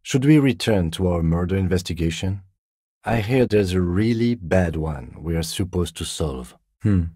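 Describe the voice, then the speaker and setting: Male game detective: very low, mellow, dry and measured, weary of nonsense, formal and by the book. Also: breathy edge, no echo. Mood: dry, composed. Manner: measured, restrained, deadpan, formal. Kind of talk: video game voice acting.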